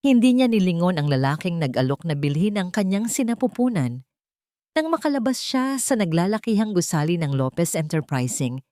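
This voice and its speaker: Woman, lowish pitch, dramatic scripted narration, romance storyteller, dry close recording. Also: a little mellow, no echo.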